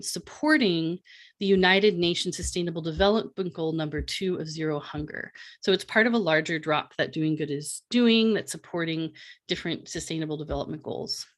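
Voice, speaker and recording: A woman presenting her art projects in a relaxed online panel talk, with many fillers and restarts, on a video call mic. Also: on the low side. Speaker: artist and presenter.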